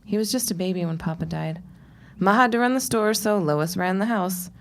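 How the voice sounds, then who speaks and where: An actress playing a wry, world-weary shopkeeper's daughter in a period audio drama, speaking in a dry, sardonic way. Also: female voice, medium pitch, moderate pace, close to an everyday voice. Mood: polite, curious.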